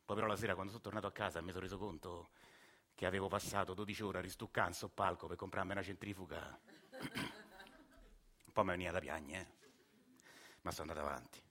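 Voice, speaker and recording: An actor in his forties playing a working-class Roman father who tells his story in a quiet, wry, confiding way, with a Roman accent. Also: low voice, fairly even intonation, fast talker, long pauses. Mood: calm recounting. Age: 40s. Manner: soft-spoken, rambling, wry confiding storyteller. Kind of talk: radio drama monologue.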